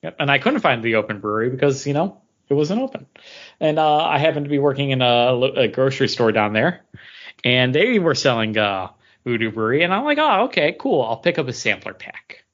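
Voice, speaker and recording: A male podcast co-host chatting casually. His pitch moves around a lot as he tells stories and acts out dialogue, and the audio is a little dull. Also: fairly quick. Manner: animated storytelling, acting out dialogue. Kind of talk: casual banter and anecdotes.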